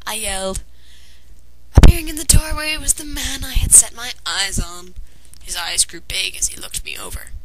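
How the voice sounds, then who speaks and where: A woman's voice: on the high side, animated, normal speed, crowd noise. A woman reading a fan fiction story aloud as narrator, in a dramatized way. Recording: noisy amateur home recording.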